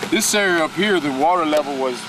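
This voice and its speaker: Frank worker explaining, noise behind. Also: male voice, fairly high pitch, a little fast. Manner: frank, explaining, points at a map. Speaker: cleanup worker. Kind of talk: casual street chat. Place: outdoors at a work site.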